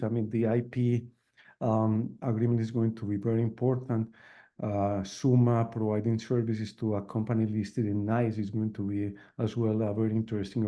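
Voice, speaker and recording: Very dark, flat-toned male executive, muffled. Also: medium pitch. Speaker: company executive answering questions. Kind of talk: business Q and A answers. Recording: muffled conference call audio.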